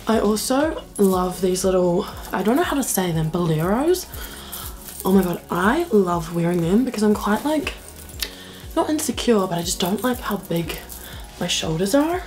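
A young woman in her twenties with a New Zealand accent, chatting casually to camera in a vlog, her voice croaky from a cold. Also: medium pitch, lively intonation, normal speed, darkish tone, soft background music, low-quality recording. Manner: chatty, upbeat, lots of "like" and "um". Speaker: vlogger. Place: small bedroom and kitchen indoors.

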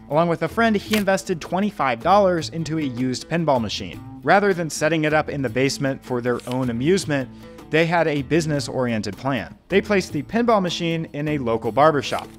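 Young male host, slightly higher voice, confident scripted explainer read, close podcast mic, faint music bed. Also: animated, a little fast. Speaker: finance video host. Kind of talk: scripted narration. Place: small home studio room. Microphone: podcast mic on boom in front.

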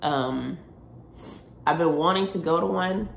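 A young woman, vlogging in casual, rambling chat from her kitchen and car; her voice is deep from just waking up. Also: unhurried, gravelly, slight background noise. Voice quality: deep morning voice. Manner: rambling, chatty, self-interrupting. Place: home kitchen, then parked car. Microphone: phone camera at arm's length.

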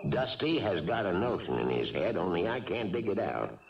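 A man acting a gruff, folksy, plainspoken baseball team manager in a 1970s radio drama. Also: low-pitched, sing-song, normal speed. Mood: earnest. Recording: dull 1970s radio recording, distant, crowd.

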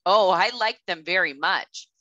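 A woman's voice: on the low side, animated, a little slow, bright, forceful tone, no room sound, muffled recording. A woman voicing an honest, humble woodsman in a children's storybook, earnest and plain-spoken. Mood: sincere. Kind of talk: children's storybook read-aloud.

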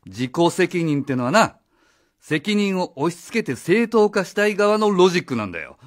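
A man playing a blunt, accusing outsider in a radio drama, confrontational and rough in his speech. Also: high-pitched, very animated, moderate pace. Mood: sarcastic, accusing. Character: blunt, accusing male outsider challenging authority. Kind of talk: Japanese radio drama acting. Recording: faint music behind the voice.

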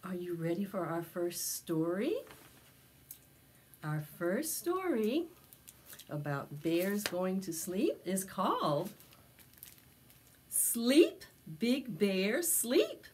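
An older woman hosting preschool storytime, reading a picture book aloud with expressive, drawn-out phrasing. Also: mid-range voice, slightly rough. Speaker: library preschool storytime host. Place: small indoor space with decorated backdrop.

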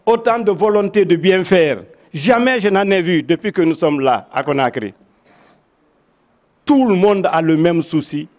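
An older man with a Guinean accent giving a measured, oratorical public speech with personal recollections into handheld microphones. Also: sits high. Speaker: veteran local dignitary. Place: crowded covered event venue. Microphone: handheld microphones held in front.

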